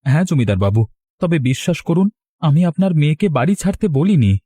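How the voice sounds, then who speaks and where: Casting: man playing eager, humble cartoon villager, slightly high animated voice, husky edge, respectful. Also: a little fast, no room sound. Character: humble poor young farmer, eager respectful son-in-law. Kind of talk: cartoon voice acting.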